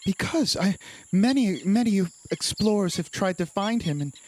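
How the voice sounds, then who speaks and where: A woman's voice: medium pitch, lively intonation, normal speed, darkish tone, a little hiss or hum. A woman playing a tabletop game in character, answering in a hesitant, defensive way. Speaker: player.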